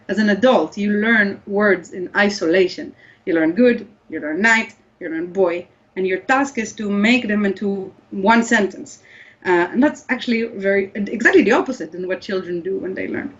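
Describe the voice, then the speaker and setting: A woman whose first language is Hebrew, explaining research in an interview and often restarting her sentences. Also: mid-range voice. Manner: thoughtful, many restarts and fillers. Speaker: guest researcher. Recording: slightly dull lo-fi audio.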